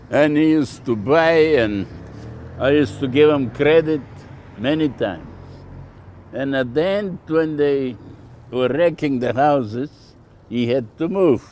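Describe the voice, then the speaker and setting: A man's voice: mid-range voice, warm tone, a little hiss or hum. An older man from Bulgaria with a Bulgarian accent, telling anecdotes casually and plainly as a shopkeeper being interviewed. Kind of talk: casual oral storytelling.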